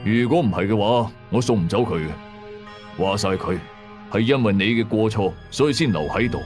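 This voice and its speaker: Man voicing a stern older exorcist father, cold, grave, commanding, lowered pitch, Cantonese radio horror drama. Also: lively intonation, fairly quick, a little mellow, soft background music, lightly acted.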